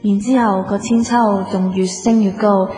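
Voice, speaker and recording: A woman's voice: mid-range voice, fairly even intonation, warm tone, soft background music, natural voice. A woman playing a bossy, scolding young woman in a radio comedy drama, with a Hong Kong Cantonese accent and an emphatic, nagging delivery. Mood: coaxing, hypnotic. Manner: emphatic, nagging, drawn-out sentence particles. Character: bossy, scolding young woman working as a strict driver-assistant.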